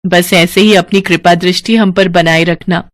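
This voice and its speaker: A woman voicing an earnest, expressive and devout farmer's wife in a Hindi animated moral story. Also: lowish voice, moderate pace, dry recording, studio quality, close to an everyday voice. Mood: devout, affectionate.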